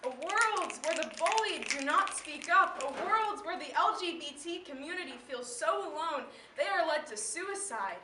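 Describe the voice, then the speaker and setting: A teenage young woman with an American accent delivering slam poetry in an impassioned, emphatic, declamatory way with deliberate pauses. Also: sits high, animated, pushed and bright. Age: teen. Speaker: slam poet. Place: live event in a room. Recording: roomy, distant mic, faint background noise.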